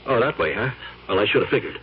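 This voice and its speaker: An actor as a blunt, scolding friend. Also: male voice, medium pitch, animated, quick pace, a little hiss or hum, close to an everyday voice. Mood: gruff. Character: blunt, disapproving male friend. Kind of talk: 1970s radio drama acting. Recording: dull vintage radio broadcast.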